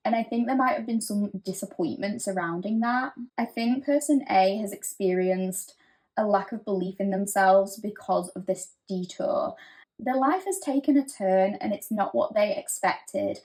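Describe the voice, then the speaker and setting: Woman, tarot reader, gentle, reassuring, conversational, mid-range voice. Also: medium pitch, a little slow, poor audio. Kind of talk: pick-a-card tarot reading.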